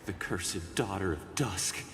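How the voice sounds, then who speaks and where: A man's voice: deep voice, slow pace, gravelly, fairly bright tone, full character voice. A man playing a wary clansman in a fantasy game, declaiming with dramatic disbelief. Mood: ominous, incredulous. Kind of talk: theatrical fantasy game voice acting. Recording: echoey hall reverb with music.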